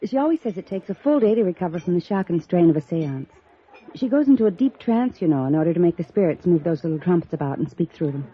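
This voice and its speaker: A woman's voice: lowish voice, lively intonation, fast, slightly rough. An actress playing a trusting, easily wounded young woman with polite, earnest feeling, in 1950s radio drama style. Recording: muffled old radio recording.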